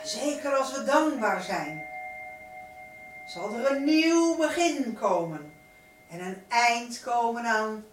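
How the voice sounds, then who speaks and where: Female spiritual guide, slow and solemn, chant-like repetitions, recorded at some distance from the mic. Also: on the high side, big pitch swings. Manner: solemn, incantatory, drawn-out phrasing with repetitions. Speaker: spiritual teacher leading a light-circle meditation. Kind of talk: spiritual guided meditation talk. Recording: roomy, distant microphone, noisy background.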